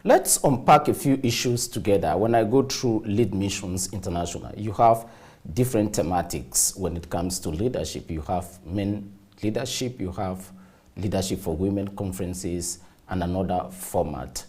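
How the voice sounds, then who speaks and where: Interviewer guy with a really low voice, formal, long winding questions. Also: normal speed, darkish tone.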